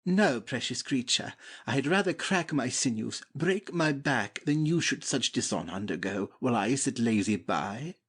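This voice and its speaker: A man acts a lovestruck young prince in a Shakespeare audiobook. His mid-pitched voice is husky and breathy around the edges, and he declaims his devotion with fervent, gallant humility. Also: animated, normal speed, hardly any pauses. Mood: earnest, gallant. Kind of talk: dramatic Shakespeare audiobook reading.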